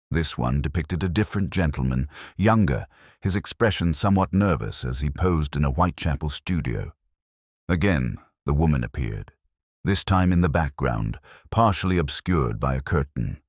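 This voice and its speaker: Male audiobook narrator: extremely low, expressive pitch, formal and measured delivery. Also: no echo, clean studio sound.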